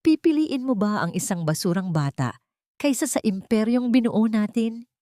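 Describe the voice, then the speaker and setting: A woman voicing a ruthless, scornful rich woman villain in an audiobook, with a threatening, deliberate, melodramatic delivery. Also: medium pitch, lively intonation, dark tone, no echo, studio quality, voice pushed up, natural voice.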